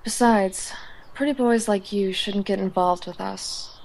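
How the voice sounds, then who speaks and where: A woman playing an aloof high school outcast in an audio drama, dismissive and sardonic. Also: a little slow, vocal fry, darkish tone, low-quality recording. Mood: cold, dismissive. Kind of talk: amateur audio drama acting.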